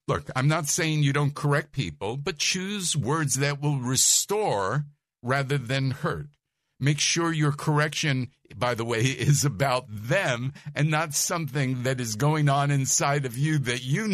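Male Bible teacher, soft voice, unhurried delivery, dry recording. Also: medium pitch, no echo. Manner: numbered points, chuckles, asks listeners questions.

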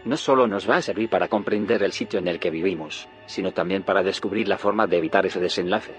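An actor playing a grave, secretive scientist in an audio drama, solemn and explanatory. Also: male voice, deep voice, fast talker, soft background music, close to an everyday voice. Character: grave, secretive male scientist haunted by failed timelines. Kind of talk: audio fiction drama acting.

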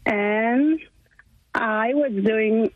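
A woman's voice: on the high side, drawn-out words. A woman from China, a native Chinese speaker, talking hesitantly in careful English as a radio interview guest. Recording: dull lo-fi line.

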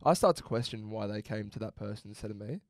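A man's voice: fairly low pitch, expressive, quick pace, no room sound, studio quality. A young man, co-hosting a podcast in a laid-back, swearing, joking way. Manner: laid-back, swearing, laughs at his own jokes. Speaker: podcast co-host. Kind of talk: casual chat.

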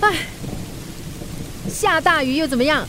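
A woman's voice: high voice, expressive, a little slow, pushed and bright, slight background noise, heavily acted. A woman playing a feisty working mother who delivers food, in a radio drama, exclaiming and grumbling melodramatically. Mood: exasperated. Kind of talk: Chinese radio drama acting. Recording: rain and thunder sound effects.

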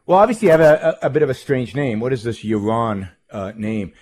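A man's voice: medium pitch, animated, brisk, darkish tone. A man from Israel with an Israeli accent, speaking as a radio host about himself in an earnest monologue that repeats words as he builds his points. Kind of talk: personal introduction monologue.

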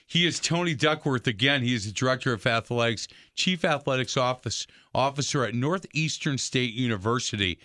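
A man's voice: medium pitch, brisk, hardly any pauses, bright, forceful tone, no echo, studio quality. A man hosting a sports radio talk show, upbeat and jokey with his guests. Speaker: radio host.

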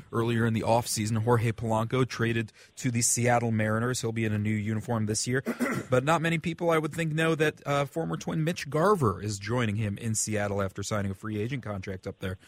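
A man's voice: medium pitch, level tone, fairly quick, no room sound. A man with an American accent co-hosting a radio sports show in a casual, conversational style.

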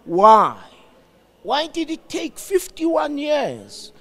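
An older South African man giving reflective interview answers in a deliberate, emphatic, explanatory manner. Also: very high-pitched, wildly swooping pitch, a bit bright. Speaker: studio guest, former radio broadcaster.

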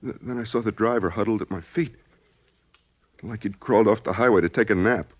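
A man's voice: lowish voice, brisk, lo-fi sound, natural voice. An actor playing a desperate, scheming man on the run in a 1940s radio drama, speaking in terse, hard-boiled phrases. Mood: dazed, shaken. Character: desperate, guilt-dazed male fugitive, hard-boiled and scheming. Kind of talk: 1940s radio drama acting, first-person noir narration. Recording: noisy old 1940s radio recording.

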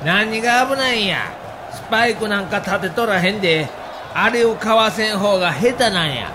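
A brash baseball player, acted by a man, heckles in rough Kansai dialect. The voice is high and defiant, taunting in audio-drama style, with clear noise in the background. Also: animated, moderate pace. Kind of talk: dramatized documentary dialogue.

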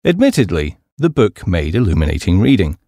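A man with a fairly low voice narrates a humorous memoir for an audiobook. His delivery is measured and articulate, with lively movement in pitch. The recording is dry and close, free of room sound. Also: dry recording.

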